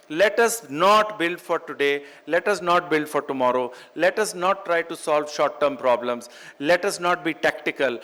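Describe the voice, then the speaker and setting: A man's voice: high-pitched, fairly bright tone. A middle-aged man with a North Indian accent giving an emphatic motivational business keynote, repeating phrases for effect. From Chandigarh, India. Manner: emphatic, repeats phrases in parallel for effect, switches between English and Hindi. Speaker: keynote speaker, real estate executive.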